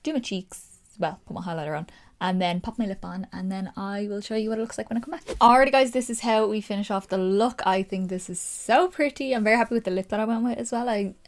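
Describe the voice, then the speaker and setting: A woman's voice: mid-range voice, quick pace. Young Irish woman, makeup vlogger, chatty and gushing, talks through each step. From Ireland. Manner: casual, gushing, explains as she goes.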